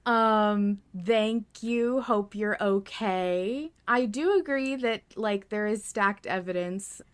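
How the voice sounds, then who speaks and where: A woman's voice: medium pitch, slow, clear, resonant tone. A woman hosting a podcast in casual chat, swearing freely. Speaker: podcast host.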